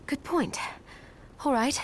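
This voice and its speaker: A woman's voice: slow pace, a little hiss or hum, close to an everyday voice. A woman acting a composed, polite young heroine who speaks with cool courtesy in a video game cutscene. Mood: thoughtful. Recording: music under the voice.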